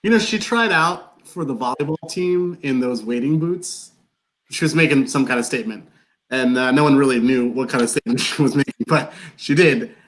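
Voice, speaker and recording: A man's voice: medium pitch, normal speed, natural voice, rough recording. A man playing an incredulous, fixated fellow in a play reading, disbelieving and given to wistful digressions. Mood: wistful, fascinated. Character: incredulous, fixated man hearing gossip about an old neighbor.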